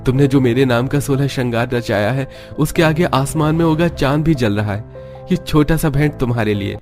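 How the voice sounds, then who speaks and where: A man's voice: medium pitch, normal speed, a little mellow, faint music behind, voice pushed up, lightly acted. A man voicing a poor, adoring, romantic young bridegroom in a cartoon story.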